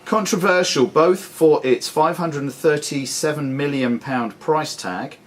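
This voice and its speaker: A man reading questions and giving rulings as quizmaster, deliberate and formal. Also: fairly high pitch. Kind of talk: reading quiz questions at a live club quiz. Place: sports and social club room. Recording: clearly noisy live club recording.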